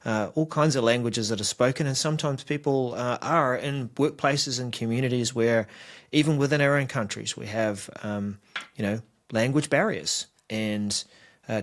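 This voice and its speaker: A man with a New Zealand accent co-hosting a casual tech podcast, bantering dryly and explaining features in a relaxed way. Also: medium pitch. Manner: dry, playful banter. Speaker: co-host.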